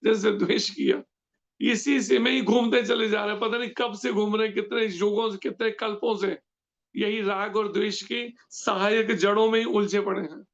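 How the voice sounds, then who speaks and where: A high-pitched man with a gravelly edge in his voice leads a devotional scripture lecture. He explains point by point and often pauses to ask his listeners questions. The recording sounds dull and lo-fi. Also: fairly even intonation. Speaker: scripture class teacher.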